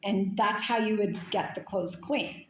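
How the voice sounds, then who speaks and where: A woman's voice: mid-range voice, measured pace, roomy sound, slight background noise. A woman from Canada talking in a casual, rambling monologue, full of fillers and restarts. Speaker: speaker.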